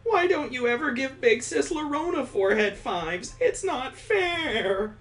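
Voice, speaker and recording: Guy doing a pouty visual novel big sis, really high whiny girl voice. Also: lively intonation, measured pace, fairly bright tone, exaggerated voice. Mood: pouty complaint. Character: excitable, whiny self-styled big sister girl.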